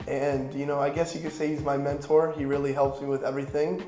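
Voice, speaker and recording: A young man in his twenties from Florida talks through an interview in an easygoing, rambling way, with lots of "you know". His pitch stays flat and even. It sounds like an echoey room with music playing underneath. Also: fast talker. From Florida, United States. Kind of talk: casual interview answers.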